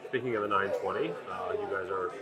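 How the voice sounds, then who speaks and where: A man's voice: sits low, quick pace, loud music behind the voice. A man conducting a trade show interview as the interviewer, with folksy, informal phrasing. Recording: crowd chatter at busy event. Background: crowd at a sales meeting.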